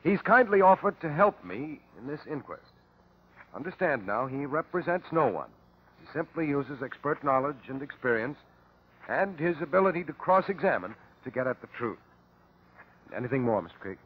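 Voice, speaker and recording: Male as fussy official coroner, husky lively voice, vintage radio courtroom drama. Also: on the high side, normal speed. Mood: authoritative. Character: officious, deferential male coroner running inquest. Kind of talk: old-time radio drama acting. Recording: muffled old radio drama recording.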